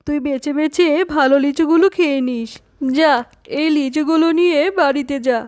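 A woman as a high-voiced, scolding cartoon tigress mother. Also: steady tone, normal speed, darkish tone, no echo, studio quality, close to an everyday voice. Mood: grudging. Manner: brusque, scolding. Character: stingy, scolding tigress mother, grudging and scornful. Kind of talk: children's cartoon story dialogue.

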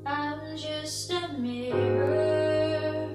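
Heavy echo surrounds a young woman singing extremely slowly in a high voice. Prominent keyboard accompaniment plays along with her. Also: steady tone, loud music behind the voice. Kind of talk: slow singing with piano. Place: indoor room at home. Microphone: microphone on boom stand. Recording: very echoey, distant, loud keyboard accompaniment. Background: keyboard played by the singer.

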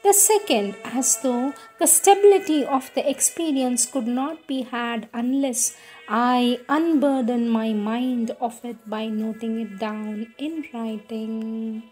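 A woman reading devotional prayers and meditations in a solemn, measured, reverent manner. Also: on the high side, steady tone, a little mellow, poor audio. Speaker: reader. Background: music.

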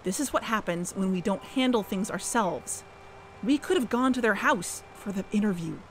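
A woman's voice: medium pitch, lively intonation, moderate pace, a little hiss or hum, close to an everyday voice. A woman playing a dogged, impatient investigator in a podcast audio drama. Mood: frustrated. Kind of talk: audio drama acting with narration.

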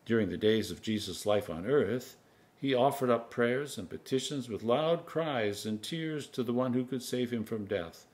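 A man in his sixties, an Anglican priest, reading scripture aloud in a measured, solemn, emphatic manner. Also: medium pitch, a little mellow. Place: home kitchen, indoors.

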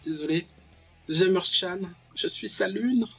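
Raspy guy doing jokey ironic let's play commentary, bit dull lo-fi mic, soft music behind. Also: high voice, slow pace. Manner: ironic, reacts aloud and paraphrases on-screen text. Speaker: let's player, channel host. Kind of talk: casual joking gameplay commentary of a visual novel.

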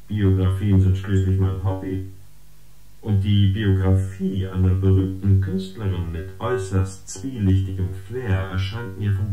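A man's voice: low-pitched, lightly acted, low-quality recording. A man playing a dry, superior artificial intelligence in a radio play, formal and detached. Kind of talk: German radio play acting. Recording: loud guitar music behind.

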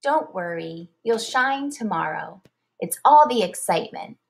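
A woman voicing a caring mother firefly from a storybook, coaxing, expressive and soothing. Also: medium pitch, unhurried, gravelly. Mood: encouraging.

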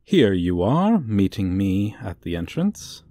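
Casting: male actor as smug, teasing supervillain for comedic audio roleplay, low and mellow, theatrical. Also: big pitch swings, no room sound, studio quality. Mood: mock-sweet, gloating. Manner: theatrical, teasing, drawn-out delivery. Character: gloating, suave, theatrical male supervillain toying with a young hero.

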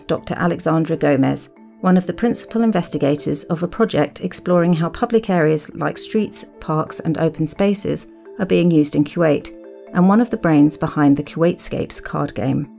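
A woman's voice: low voice, lively intonation, moderate pace, creaky, dark tone, faint music behind. A woman hosting a podcast, reading scripted narration. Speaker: podcast host.

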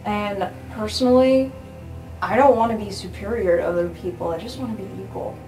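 Female tabletop player: mid-pitched, casual roleplay, music clearly behind. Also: fairly quick, low-quality recording. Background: background music.

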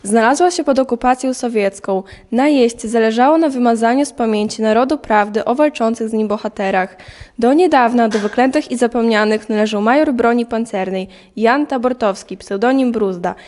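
A woman's voice: on the high side, normal speed, darkish tone. A young woman reading scripted historical narration for an audio drama.

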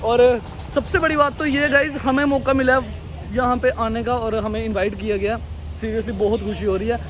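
A young man chats excitedly to his viewers while filming himself with a handheld action camera. His voice sits very high and light. Clear street and traffic noise run behind him the whole time. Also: normal speed. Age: young adult. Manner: excited, addresses viewers as guys and brother. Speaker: motovlogger. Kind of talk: casual vlog chat. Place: outdoors by a roadside and a showroom lot. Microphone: action camera held at arm's length.

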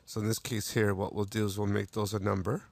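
Male tutorial narrator, low and gravelly, plain step-by-step delivery, dry booth sound. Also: a little fast, dry recording. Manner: steady step-by-step instruction with small restarts. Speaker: software demo narrator.

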